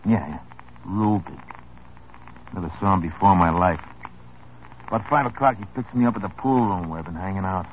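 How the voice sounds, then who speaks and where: A man playing a deep-voiced wry hoodlum. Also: fairly quick, pauses often, a little hiss or hum, close to an everyday voice. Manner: tough, wry, world-weary. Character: sardonic small-time male hoodlum. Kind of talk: 1950s radio drama acting. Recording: muffled old radio broadcast.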